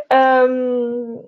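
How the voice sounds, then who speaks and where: Role: podcast host. Sex: woman. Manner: chatty and giggly, many fillers. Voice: fairly high. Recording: muffled, lo-fi. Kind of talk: casual conversational interview. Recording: dull lo-fi sound.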